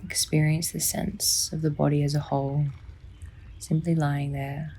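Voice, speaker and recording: A woman softly and soothingly narrating a guided sleep meditation, unhurried, with long pauses and a breathy, near-whispering delivery. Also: deep voice, little pitch movement. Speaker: psychologist guiding a sleep meditation.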